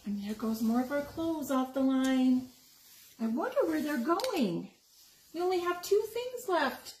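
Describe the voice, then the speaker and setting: High-pitched, animated woman reading a storybook. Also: a little slow. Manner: asks the young listeners questions, exclaims over pictures. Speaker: story time reader. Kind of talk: animated picture book read-aloud for young children. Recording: roomy echo with noticeable background noise.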